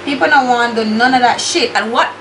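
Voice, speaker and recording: A woman's voice: fairly high pitch, a little fast. A young Jamaican woman with a Jamaican accent, chatting bluntly while doing her makeup, swearing freely and slipping into patois. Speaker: beauty YouTuber. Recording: echoey room with steady background hiss.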